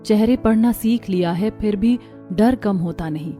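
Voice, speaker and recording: Woman doing a young daughter-in-law in a cartoon story, wounded and guarded, mellow mid voice. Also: mid-range voice, a little slow, faint music behind, close to an everyday voice. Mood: sad, fearful. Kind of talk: Hindi cartoon story acting.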